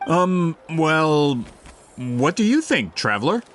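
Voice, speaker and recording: Keen, polite merchant, fairly high voice, lively delivery, game cutscene. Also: male voice, slow, soft background music, heavily acted. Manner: courteous, eager, slightly awkward. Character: polite eager male merchant, brisk and persistent.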